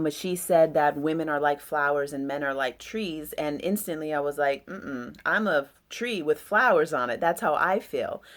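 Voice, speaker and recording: A woman with a deep voice talking about astrology in a loose, rambling, reflective way with many fillers. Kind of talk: casual astrology talk.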